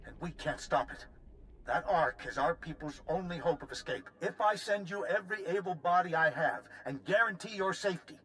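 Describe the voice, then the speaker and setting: Forceful, urgent planetary leader. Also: male voice, a little high, low-quality recording. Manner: forceful, earnest. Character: urgent, pleading male leader of a doomed world. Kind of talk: video game cutscene acting.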